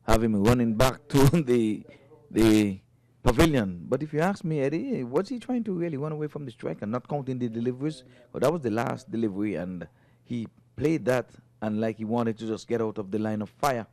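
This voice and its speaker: A man giving live cricket commentary from the booth, animated and opinionated, laughing between remarks. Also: medium pitch.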